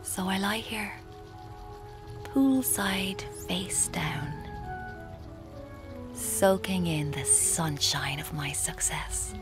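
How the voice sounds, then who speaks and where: An actress with an Irish accent playing a scheming, guilt-haunted young woman writer in a radio drama, with a breathy, creaky voice. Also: lowish voice, drawn-out words, long pauses, over music. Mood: confessional, smug. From Ireland. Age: young adult. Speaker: lead actor and narrator in a radio drama. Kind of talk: intimate first-person radio drama narration.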